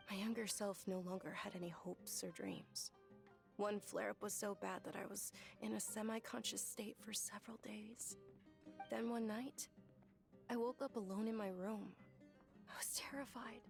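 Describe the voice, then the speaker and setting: A woman performs a gentle young woman from a video game, reflective and sincere as she tells her story. Her medium-pitched voice has noticeable creak, and music plays clearly underneath. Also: close to an everyday voice. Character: gentle, earnest young woman recalling a sickly childhood.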